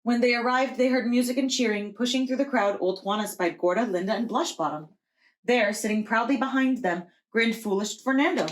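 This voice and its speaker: A woman preschool teacher reading a children's storybook aloud in a deliberate, expressive storytelling way. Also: a little high, a little hiss or hum.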